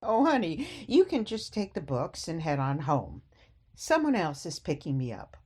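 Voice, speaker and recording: An older woman playing a sweet but stubborn elderly mother in a radio play, gently chiding and coy. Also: deep voice, huge pitch swings, moderate pace, breathy edge, full character voice.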